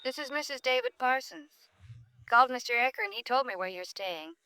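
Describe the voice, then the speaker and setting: Tense young wife, high bright voice, clean tone, brisk urgency, old radio drama. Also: female voice, animated, clear, resonant tone, no echo. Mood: tense, urgent. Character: tense, secretive young married woman. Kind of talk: 1950s radio drama acting.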